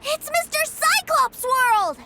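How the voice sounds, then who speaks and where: A woman voicing an excitable, innocent little boy in a video game, with an eager, wide-eyed, childlike manner. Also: extremely high pitch, slow, full character voice. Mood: thrilled. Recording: loud game music over voice.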